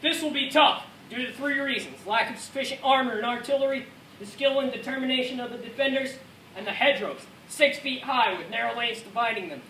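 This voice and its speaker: A man's voice: very high-pitched, fairly quick, sharp tone, full character voice. A man playing a dutiful American Second World War paratrooper, recounting combat in an earnest, rehearsed dramatic narration. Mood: sober, matter-of-fact. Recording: echoey room from far off, faint noise.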